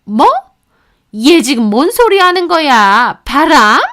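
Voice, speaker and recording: Woman voicing a shrill older mother-in-law, sharp, scolding, high-pitched, smooth tone, storytelling. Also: very animated, moderate pace. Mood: shocked, scolding.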